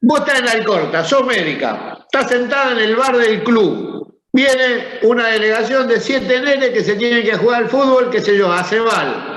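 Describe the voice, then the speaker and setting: A man's voice: extremely high pitch, animated, normal speed, few pauses, a bit bright. Rioplatense man lecturing a class. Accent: Rioplatense Spanish. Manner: conversational, asks the class questions. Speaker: teacher. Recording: echoey room, dull sound.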